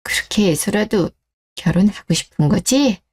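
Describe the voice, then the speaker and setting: Mellow, protective worried father voiced by a woman. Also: medium pitch, lively intonation, normal speed, husky. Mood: resigned concern. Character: protective, worried middle-aged father, frank and measured. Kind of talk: scripted story-radio drama.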